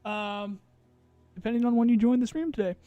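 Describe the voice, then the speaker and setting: A man's voice: very high-pitched, fairly even intonation, brisk, narrow, tinny audio, faint music behind. A man streaming a casual chat, reading viewer questions aloud and answering loosely with fillers, a little tired and his voice getting strained.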